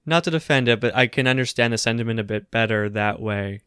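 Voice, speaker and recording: A man's voice: mid-range voice, fast, bright, forceful tone, clean tone, no room sound, studio quality. A man co-hosting a casual political podcast, talking in a conversational, hedging way with filler phrases. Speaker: podcast co-host.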